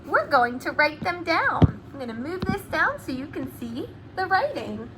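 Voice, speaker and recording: A woman preschool teacher giving an animated read-aloud lesson to young children, enunciating words deliberately and asking them questions. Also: sits high, background noise.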